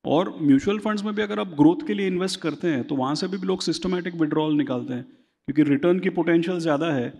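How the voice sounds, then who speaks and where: A man's voice: on the high side, fast talker, darkish tone, big-room sound. A man as a finance expert guest in an interview, explaining at length and often checking for agreement. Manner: explanatory, seeks agreement with repeated tag questions. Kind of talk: conversational interview, Hindi-English mix.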